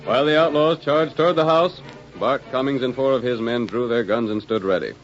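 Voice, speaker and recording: A man's voice: medium pitch, brisk, lightly acted. A man narrating a western in a dramatic, urgent 1940s radio style. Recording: muffled old radio broadcast. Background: music.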